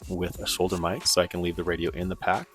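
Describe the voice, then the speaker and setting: A man's voice: low voice, flat delivery, fast, fairly bright tone, soft background music. A man giving a casual, informal product review in a conversational way. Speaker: presenter of radio gear review.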